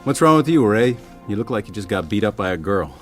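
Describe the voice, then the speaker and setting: A man's voice: lowish voice, very animated, fairly quick, soft background music. An actor playing a pushy, easily exasperated middle-aged father in an audio drama, with a blunt, needling manner. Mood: probing.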